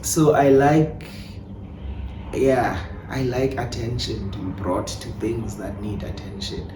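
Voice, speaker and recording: A South African man giving conversational interview answers as a playwright and director, telling things in an animated storytelling way. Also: medium pitch, slow. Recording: echoey room, distant mic, clear background noise.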